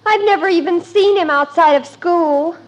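A woman's voice: very high-pitched, normal speed. A woman playing a stubborn, dreamy seventeen-year-old daughter in a 1950s radio comedy, earnest and dramatic. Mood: defiant. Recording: faintly noisy old radio recording.

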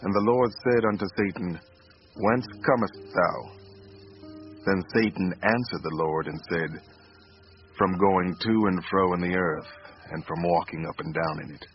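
A devoted, earnest father in a radio play, his natural register low. The recording is dull and muffled, with prominent music behind him. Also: unhurried. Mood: solemn. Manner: earnest, sincere. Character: earnest, remorseful father and husband. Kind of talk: radio drama acting.

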